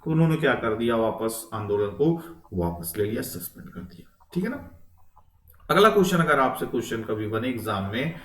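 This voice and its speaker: A man's voice: mid-range voice, very animated, fairly quick, fairly bright tone. Echoey, distant male teacher. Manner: explanatory, repeats phrases and adds frequent check-in tags. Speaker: history teacher for competitive exams. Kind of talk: informal online lecture. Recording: echoey room, distant microphone.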